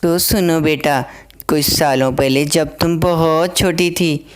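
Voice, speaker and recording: A woman voicing a gentle, protective and anxious mother sparrow in a cartoon story. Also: sits low, steady tone, slow. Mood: protective, sorrowful.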